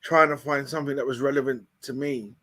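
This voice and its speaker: A hoarse man with a British accent, a channel founder telling how it began in a rambling way, with many fillers. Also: medium pitch, steady tone, fast, pushed and bright. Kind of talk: casual chat on a video call show.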